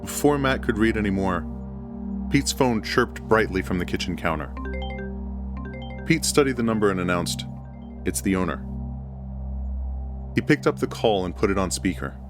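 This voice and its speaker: Low-voiced man narrating over music. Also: lively intonation, normal speed. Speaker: horror story narrator. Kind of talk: first-person scripted narration. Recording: music bed under the voice.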